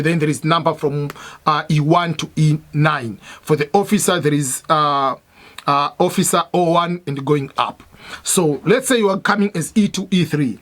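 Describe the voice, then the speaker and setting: A man with a fairly high voice talks through his points at an unhurried pace. He is a native Swahili speaker and a veteran who explains patiently, repeats himself and fills his pauses with "uh" and "I mean". It sounds like an informal advice talk. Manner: steady, repetitive explaining with many fillers and restarts. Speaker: military veteran giving advice.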